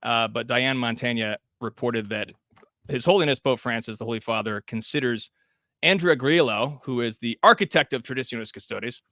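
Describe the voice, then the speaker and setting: Bright-voiced man hosting a roundtable. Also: medium pitch, normal speed, no room sound, studio quality. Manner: wry, sarcastic asides with frequent "uh" fillers. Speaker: host and editor. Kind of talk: introducing a roundtable panel.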